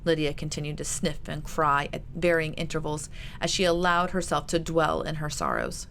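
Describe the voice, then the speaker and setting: A woman's voice: low voice, expressive, moderate pace, vocal fry, a bit bright, slight background noise. A woman reading a novel aloud as its author, in a scripted storytelling style. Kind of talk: scripted fiction narration.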